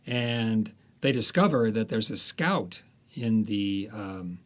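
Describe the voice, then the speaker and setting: Low-voiced man telling history in an interview. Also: animated, fairly bright tone. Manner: storytelling, many pauses and fillers. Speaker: author guest. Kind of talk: interview, recounting history and plot.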